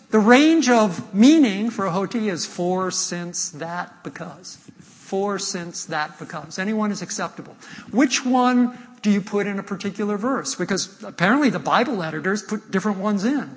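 High-pitched preacher in an echoey hall. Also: male voice. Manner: emphatic, deliberate repetition with rhetorical questions. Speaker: pastor. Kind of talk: expository sermon. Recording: echoey hall with long reverb.

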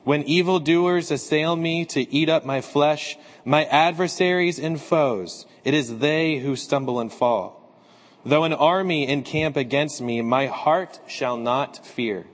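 Hoarse pastor in a reverberant sanctuary. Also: male voice, fairly high pitch. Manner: earnest, measured, with fillers in announcements. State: slightly hoarse. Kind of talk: scripture reading, prayer and church announcements. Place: church sanctuary.

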